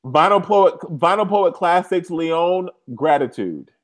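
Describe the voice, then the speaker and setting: A man's voice: sits high, animated, slow pace. Emotional man co-hosting a live chat. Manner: emotional, playful, sings along. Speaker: co-host of a live recap show. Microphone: video call.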